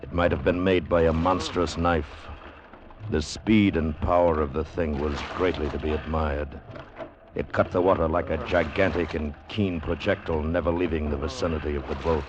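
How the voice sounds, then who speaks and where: A man acting as the grave, measured literary narrator of an old radio sea adventure. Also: very deep voice, steady tone, background noise. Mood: grave, ominous. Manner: grave, measured, deliberate delivery. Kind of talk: 1940s-50s radio drama narration. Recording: muffled vintage radio recording with music. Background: dramatic music.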